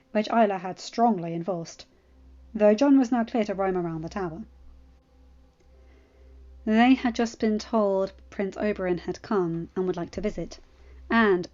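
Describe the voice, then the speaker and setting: A woman's voice: mid-range voice, mellow, lo-fi sound. A woman reading scripted story narration as an audiobook reader. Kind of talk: scripted fan fiction narration.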